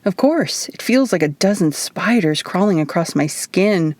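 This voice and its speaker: A woman's voice: fairly low pitch, expressive, a little slow, a little mellow, no room sound. A weary, wry young heroine grumbling through her injuries, played by a woman narrator. The voice is subdued, in a very dry audiobook recording.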